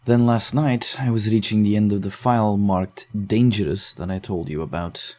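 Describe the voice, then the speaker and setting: A man's voice: fairly low pitch, moderate pace, mellow, close to an everyday voice. An actor playing a shaken young man telling of strange events in an audio drama, defensive and uneasy. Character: shaken young man investigating case files. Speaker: narrator and lead character.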